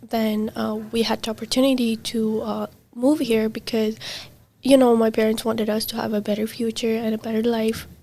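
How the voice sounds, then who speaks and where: Brief: young woman, Arabic/Kurdish first language, flat mellow delivery, hesitant, faint room noise. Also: on the high side. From Middle East. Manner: halting, many fillers and soft trailing words. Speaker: interviewee. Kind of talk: casual conversation.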